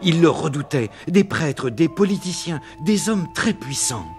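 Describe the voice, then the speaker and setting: Cartoon narrator, slightly high register, telling sacred history to children over prominent music. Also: male voice, animated, a little slow. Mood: storytelling. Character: man recounting Gospel events to curious listeners. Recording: loud music behind the voice.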